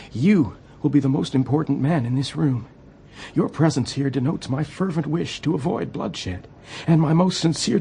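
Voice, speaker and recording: Creaky-voiced, earnest American president. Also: male voice, mid-range voice, dark tone, a little hiss or hum. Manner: formal, placating, careful. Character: earnest, polite, anxious male American president. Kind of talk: radio play acting.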